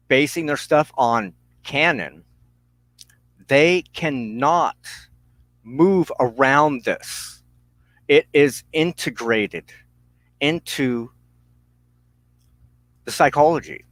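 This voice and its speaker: A man speaks slowly and seriously in a reflective monologue, as the host of a spiritual broadcast. He breaks his thoughts into short, deliberate phrases with pauses between them. His medium-pitched voice sounds close and dry, with no room around it. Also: fairly bright tone, dry recording, low-quality recording. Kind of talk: reflective spoken monologue on personal belief.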